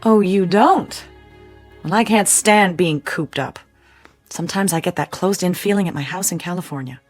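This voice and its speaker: A flamboyant movie-star aunt played by an actress in a radio drama, with a lowish voice. She gushes, teases and flirts with theatrical flair. Soft music sits behind her. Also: moderate pace, lightly acted. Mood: restless, dramatic. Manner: gushing, theatrical, teasing endearments.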